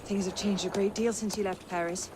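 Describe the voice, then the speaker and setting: A woman's voice: mid-range voice, fairly even intonation, fairly quick, natural voice. An actress playing a shrewd young female conspirator in a video game cutscene, with a calculating, dryly witty manner. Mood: grave, dry. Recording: noisy outdoor crowd around the voice.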